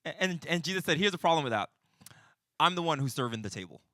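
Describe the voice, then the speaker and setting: A man's voice: on the high side, expressive, fast, pushed and bright, no room sound, studio quality. A man in his forties giving an informal, conversational sermon as a pastor leading a teaching session, often checking in with his listeners. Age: 40s.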